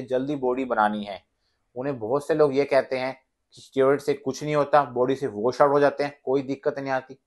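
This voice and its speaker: Guy in his 30s doing a fitness explainer to camera, mid pitched voice, earnest and teachy. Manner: earnest, instructive, addresses viewers directly. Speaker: fitness vlogger.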